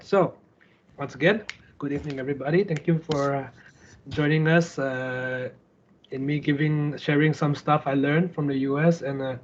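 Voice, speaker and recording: A man's voice: fairly high pitch, slight background noise. Casual Malaysian man, dull lo-fi audio. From Kuching, Sarawak, Malaysia. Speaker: guest speaker, startup founder. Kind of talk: casual slide presentation. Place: online video call with screen sharing. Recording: dull lo-fi online call audio.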